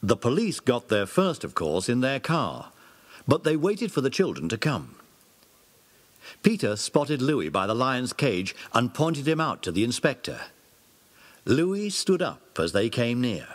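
A man narrating a children's audio drama in a scripted storybook style, husky. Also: medium pitch, sing-song, measured pace.

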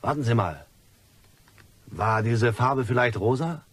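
An actor playing a scheming, irritable French gentleman with a guilty secret in a radio crime play, speaking in a curt, evasive, nervy way. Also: mid-range voice, animated, unhurried, slightly rough, no echo. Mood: probing. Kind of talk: radio crime drama acting.

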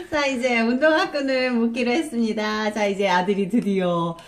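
A middle-aged woman vlogging casually at home, talking brightly to viewers and laughing often, recorded on a handheld phone camera held close. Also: a little high, a little slow. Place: home living room, indoors. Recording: echoey room, faint noise.

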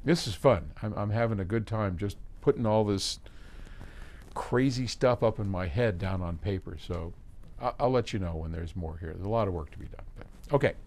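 Older man, slightly low voice, casual explainer. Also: normal speed, a little mellow, a little hiss or hum. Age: 70s. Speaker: host, audio company chief answering viewer questions. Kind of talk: casual explanatory talk to camera. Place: indoor electronics workshop.

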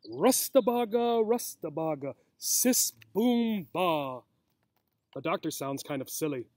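A man reads a picture book to young children at a very unhurried pace. His voice sits fairly high for a man, and he talks simply and encouragingly. He is recorded outdoors at arm's length, with no echo at all. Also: expressive, darkish tone. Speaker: teacher reading a picture book aloud. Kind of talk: children's read-aloud. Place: outdoors in a grassy yard. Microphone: camera or laptop mic at about arm's length.

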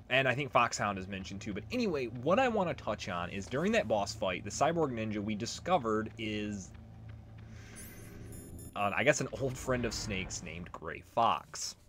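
Casual man commentating with lively pitch. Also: slight background noise. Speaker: gaming video host. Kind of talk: casual let's play commentary.

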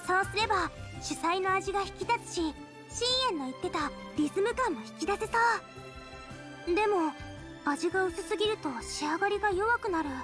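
A woman voicing a cheerful, energetic and earnest teenage girl chef in a Japanese anime game. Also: very high voice, normal speed, over music. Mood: focused, cheerful.

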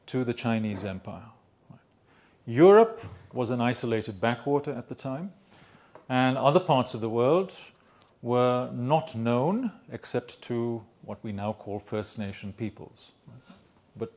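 An older man from Sri Lanka giving an academic lecture in a conference room into a handheld mic, measured, with many fillers and restarts. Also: mid-range voice, a little mellow. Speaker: economics lecturer. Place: conference room with projector screen.

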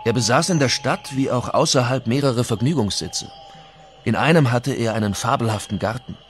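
A man's voice: mid-range voice, normal speed, faint music behind, natural voice. A man reading the part of a Spanish conquistador giving his account of what he witnessed. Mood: reporting, composed. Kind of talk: dramatic reading of historical accounts.

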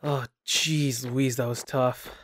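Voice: mid-range voice, fairly even intonation, slow pace. A gamer giving casual, self-deprecating playthrough commentary and reading on-screen game text aloud. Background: loud game music.

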